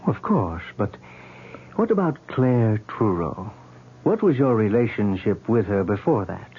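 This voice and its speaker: An actor playing a calm, probing psychiatrist in a 1970s radio drama, measured and clinical. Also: male voice, fairly low pitch, a little hiss or hum. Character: calm, probing male psychiatrist, distinguished and patient. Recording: thin, muffled old radio broadcast.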